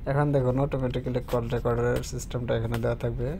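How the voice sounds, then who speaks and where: Man, tutorial presenter, monotone, soft-edged tone, halting word searches, casual unscripted delivery. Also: mid-range voice, slight background noise.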